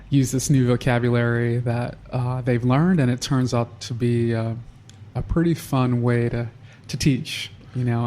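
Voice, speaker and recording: A man guest on a podcast, talking through how he started a charity in a halting, unhurried way with frequent restarts. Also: mid-range voice, dark tone, a little hiss or hum. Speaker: nonprofit founder, guest. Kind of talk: casual interview.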